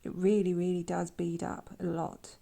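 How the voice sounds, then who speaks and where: A woman with a croaky voice from a sore throat, softly talking through a painting tutorial in quiet, half-whispered asides. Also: fairly low pitch, flat delivery, moderate pace. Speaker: art channel host. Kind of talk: soft-spoken painting tutorial.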